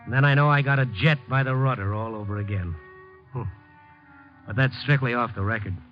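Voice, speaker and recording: A man's voice: medium pitch, a little fast, natural voice. An American man acting in a 1950s radio drama as a lovelorn, world-weary, slangy city reporter. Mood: resigned, wry. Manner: slangy, hard-boiled, wry. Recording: muffled 1950s radio recording, music underneath.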